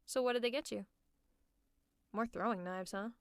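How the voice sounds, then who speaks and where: Woman acting as sarcastic female supervillain, ASMR roleplay, mid-pitched, teasing, scolding yet caring, close dry mic. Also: moderate pace, no echo, close to an everyday voice. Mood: grudging care. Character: sardonic female supervillain, gruffly fussing over a sick hero. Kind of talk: ASMR roleplay, one-sided dialogue to the listener.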